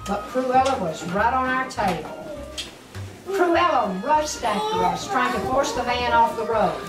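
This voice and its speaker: A woman's voice: fairly high pitch, very animated, unhurried. An older woman reads a storybook aloud to a small child, expressively and with little explanatory asides. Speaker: grandmother reading to a child. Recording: roomy, distant mic, music behind. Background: music.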